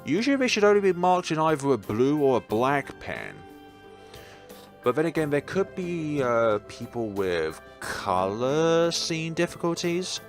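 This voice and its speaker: A man's voice: on the high side, sing-song, a little slow, faint music behind. A man hosting a let's play, reading a visual novel aloud and adding his own commentary, sometimes stumbling and correcting himself.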